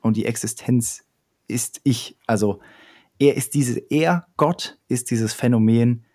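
A man's voice: medium pitch, slow pace, dark tone. A young man in casual chat, explaining ideas step by step with frequent fillers and restarts.

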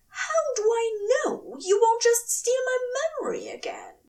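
Woman voicing an overwrought, high-pitched male angel. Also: huge pitch swings, a little slow, darkish tone, far from the mic, exaggerated voice. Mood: disbelieving. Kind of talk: dramatic audio fiction reading.

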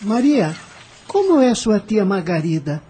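A woman's voice: mid-range voice, very animated, measured pace, dark tone, slightly rough, full character voice. A woman acting an anxious young boy in a radio drama, timid and questioning. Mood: worried, timid. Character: anxious young boy travelling with his family.

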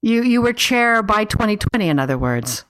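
A woman's voice: mid-range voice, sing-song, moderate pace, a bit bright. A woman from Canada hosting a casual talk, asking polite, encouraging questions. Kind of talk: casual conversation.

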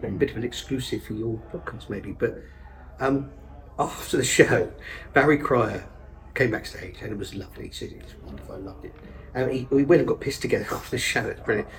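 A man's voice: mid-range voice, a little fast, rough recording. Chatty man, rambling and eager. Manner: enthusiastic, rambling, self-interrupting with fillers. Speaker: actor and stage director, podcast guest. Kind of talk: casual conversational interview.

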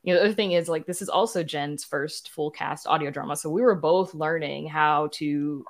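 A woman's voice: low-pitched, fairly quick. A woman speaking as a podcast guest in a casual interview, candidly explaining things and often saying "you know". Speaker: podcast guest, audio drama producer.

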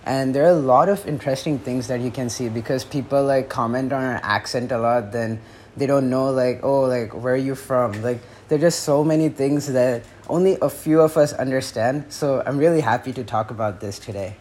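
A man's voice: medium pitch, fairly quick, darkish tone. A young man as a podcast guest in casual chat, talking hesitantly with restarts and "like" fillers. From born in the US, raised in India. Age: young adult.

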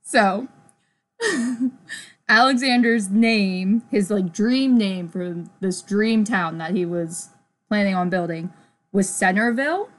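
A woman's voice: mid-range voice, slow pace. A young woman co-hosting a casual podcast, chatty and giggly, reading history from her notes with lots of "like". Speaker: podcast co-host. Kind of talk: casual chat.

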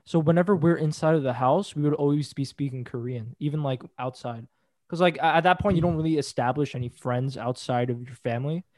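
A young man talks casually among friends in a slightly higher than average voice. He rambles loosely through his stories with plenty of "like" fillers. The sound is close and dry, with no room around it. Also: a little mellow, no echo. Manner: quick, loose storytelling with many "like" fillers. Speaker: friend in a group chat. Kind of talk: casual chat.

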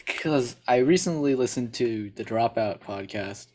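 A man in a casual podcast chat who guesses out loud and laughs often. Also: mid-range voice.

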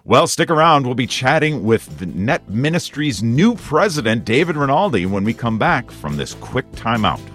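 A man's voice: mid-range voice, very animated, moderate pace, few pauses, fairly bright tone, faint music behind. A man hosting a conversational radio interview, speaking in an effusive, chatty way. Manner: effusive, chuckles while talking. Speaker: radio show host.

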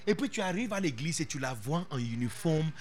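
A man preaching a sermon as pastor, animated and playful, dropping English interjections into his talk. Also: fairly high pitch, fairly quick.

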